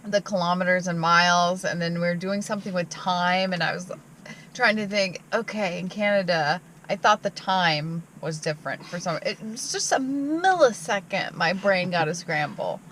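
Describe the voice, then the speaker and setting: A woman's voice: on the low side, normal speed, fairly bright tone. A woman from the United States chatting casually in a travel vlog, giggly and self-deprecating, with light background noise. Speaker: van life vlogger.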